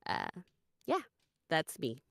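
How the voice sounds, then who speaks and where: A woman's voice: rumbling low, wildly swooping pitch, slow pace, creaky, dry recording, studio quality. A woman speaking casually as a voice actor introducing herself. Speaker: voice actor, audiobook narrator. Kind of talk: casual chat.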